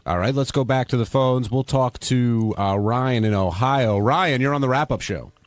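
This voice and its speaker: A man's voice: medium pitch, normal speed. A man hosting a talk radio show, chatting casually and taking calls.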